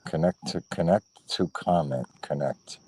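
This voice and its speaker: A man with a notably low voice speaks into a phone as a guest on a livestream chat. He is earnest and keeps circling back to the same points, stopping and restarting his sentences. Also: a little slow, darkish tone, husky. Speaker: guest, fellow show host.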